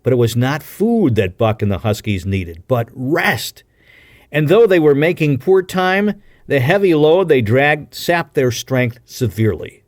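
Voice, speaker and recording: A man's voice: medium pitch, lively intonation, unhurried, no echo, studio quality. A man reading a classic adventure novel aloud as an audiobook narrator, with a dramatic, emphatic storytelling delivery. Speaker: audiobook reader.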